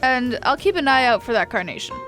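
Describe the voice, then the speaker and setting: A woman's voice: on the high side, sing-song, a little fast, music in the background, poor audio. A woman playing a folksy, world-weary cafe waiter in a radio comedy, talking in a familiar, blunt, consoling way. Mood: reassuring. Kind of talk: old-time radio romantic comedy acting.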